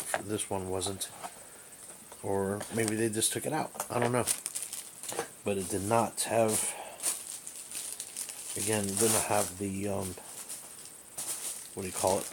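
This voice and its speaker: A man with a fairly low voice talks casually through an unscripted hobbyist review. He rambles and hesitates, searching for his words. The recording is homemade, made in a small room with slight noise behind him. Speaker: amateur radio hobbyist reviewing gear. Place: small bedroom indoors.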